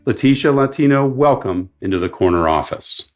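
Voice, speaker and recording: A man hosting a business podcast. He reads a scripted introduction, then asks questions in a measured, slightly halting way. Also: mid-range voice, expressive, faint music behind. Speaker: podcast host.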